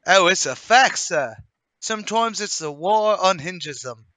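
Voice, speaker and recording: Man playing a bright, high-voiced chatty young workingman. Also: lively intonation, a little slow, dry recording, heavily acted. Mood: chatty, opinionated. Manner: colloquial, folksy, chatty. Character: chatty, plain-spoken young working-class man, curious, gossipy. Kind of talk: radio mystery drama table read.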